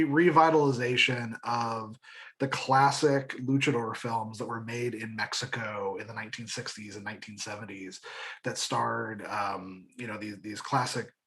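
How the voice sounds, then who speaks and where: A man's voice: medium pitch, fairly bright tone. A man explaining his work casually on a podcast panel, enthusiastic, often repeating words as he searches for the next phrase. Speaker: podcast creator and producer, panel guest.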